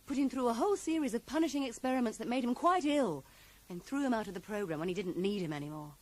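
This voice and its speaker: An actress in a radio drama playing a guarded, sharp-tongued woman writer who is curt, evasive and coolly defiant. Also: fairly high pitch, lively intonation, fast. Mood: indignant, scornful. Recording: noisy 1980s radio drama broadcast.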